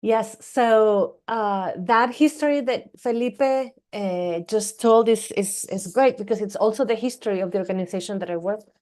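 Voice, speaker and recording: A woman with a Costa Rican Spanish accent, explaining her points in a measured, reflective way as an academic panelist. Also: mid-range voice, darkish tone. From Costa Rica.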